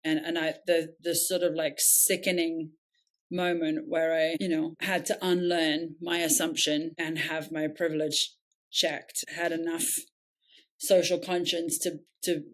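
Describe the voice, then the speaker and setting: A woman's voice: low voice, level tone, a little slow. A woman with an English accent, a nonprofit founder telling her story in an interview, reflective and earnest, often correcting herself mid-sentence.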